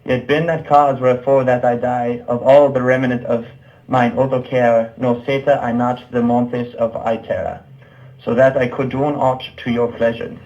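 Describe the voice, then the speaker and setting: Man playing flat-toned declamatory lovelorn knight. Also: mid-range voice, moderate pace, lo-fi sound, natural voice. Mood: anguished lament. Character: lovelorn, wretched medieval knight in a puppet show. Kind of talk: theatrical recitation of old verse.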